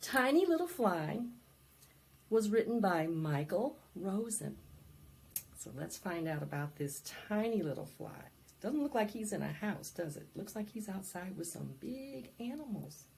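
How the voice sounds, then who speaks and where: Slow, animated woman reading to preschoolers. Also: medium pitch, creaky. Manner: sing-song, dramatic, asks children questions. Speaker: library storytime host. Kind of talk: animated preschool read-aloud with singing.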